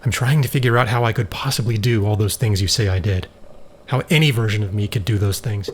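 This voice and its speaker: A man acting in a science fiction audio drama as a grave, troubled scientist and proud elder brother, speaking in measured, earnest, formal phrases. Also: lowish voice, close to an everyday voice. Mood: earnest, probing. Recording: faint background noise.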